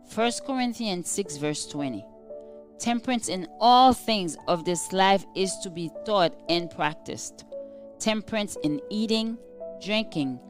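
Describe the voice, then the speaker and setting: A woman reading a scripted devotional in a measured, formal, earnest manner. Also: on the low side. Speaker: devotional reader.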